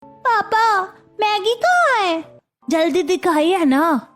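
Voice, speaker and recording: Woman acting a young cartoon child, very high voice, slow delivery, whiny, stubborn, complaining. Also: big pitch swings, fairly bright tone, faint music behind, exaggerated voice. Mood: pleading, whiny. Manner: pleading, complaining. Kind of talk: Hindi cartoon story dialogue.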